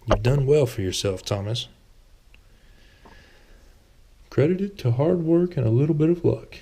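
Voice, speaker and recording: Guy doing a rich older pious fella in a fantasy book, pitched up, earnest, persuasive. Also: lively intonation, fairly quick, warm tone. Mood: genial. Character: wealthy, pious, earnest older man, old friend.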